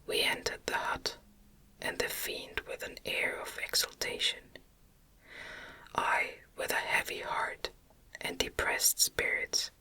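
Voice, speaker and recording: An audiobook reader narrating in a soft, breathy, soothing ASMR whisper with a measured delivery.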